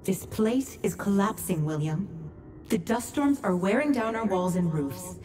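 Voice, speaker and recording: A woman's voice: medium pitch, lively intonation, a little slow, darkish tone, a little hiss or hum, close to an everyday voice. A woman acting a frustrated, pleading colonist who challenges leadership, earnest and argumentative, in a video game cutscene. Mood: urgent, insistent. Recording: distant mic, music behind.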